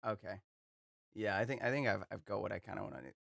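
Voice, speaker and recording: A man's voice: fairly low pitch, fast talker, gravelly, fairly bright tone, dry recording, studio quality. A young man chatting casually as host of a voice acting video, rambling and self-deprecating. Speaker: voice acting YouTuber. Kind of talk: casual chat.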